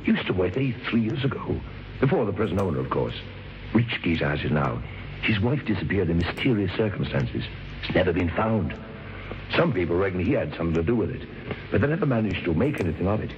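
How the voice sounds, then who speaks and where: Gossipy bar old-timer, radio drama, low voice, muffled recording. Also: male voice, very animated, a little fast. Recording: dull old radio recording, faint hiss.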